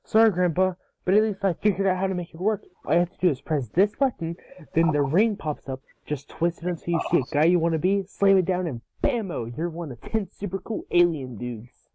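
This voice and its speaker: A man's voice: high voice, a little fast, warm tone, close to an everyday voice, low-quality recording. A man playing an eager, brash boy hero in an amateur cartoon table read. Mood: earnest, excited.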